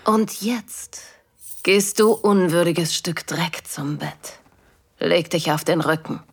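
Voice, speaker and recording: A woman playing a calm, strict dominatrix in an intimate audio drama, teasing and commanding in a controlled, breathy voice. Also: fairly low pitch, takes it slowly, heavily acted. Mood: stern, commanding.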